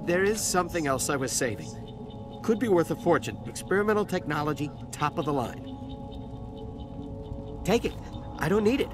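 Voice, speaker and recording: An actor playing a shaken, guilty male smuggler in a video game, halting and pleading. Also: fairly high pitch, lively intonation, natural voice. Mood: pleading, sorry. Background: loud game music.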